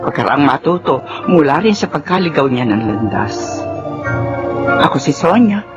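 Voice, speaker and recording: A woman's voice: low-pitched, big pitch swings, normal speed. A woman with a Filipino accent playing a remorseful, earnest mother in a sentimental radio drama monologue. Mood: reflective regret. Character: remorseful Filipino mother reflecting on her mistakes. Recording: dull radio broadcast over loud music.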